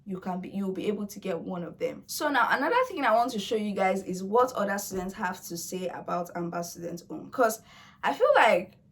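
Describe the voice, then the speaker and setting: A woman's voice: medium pitch, animated, a little fast. A young woman whose first language is Yoruba, talking casually to her viewers in a chatty, coaxing way with playful asides. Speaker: vlogger. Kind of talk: casual talk to camera.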